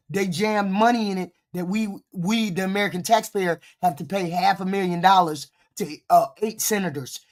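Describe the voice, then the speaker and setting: A streamer giving blunt, profane live reaction commentary, with a voice deepened by a cold and short of breath.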